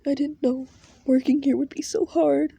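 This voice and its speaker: A frightened young woman on her first day who pleads shakily, played by the narrator. Her voice sits high with a husky edge in this fan audio story. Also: steady tone, moderate pace, warm tone, no echo, highs cut off, heavily acted. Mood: upset. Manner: shaky, pleading.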